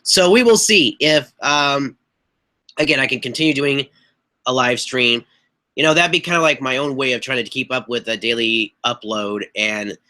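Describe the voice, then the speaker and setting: A young man hosting a casual live chat on a webcam, hoarse and stuffy from a cold, rambling with fillers and clearing his throat. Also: medium pitch, pushed and bright. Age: young adult. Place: small bedroom with posters on the walls. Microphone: webcam mic at desk distance.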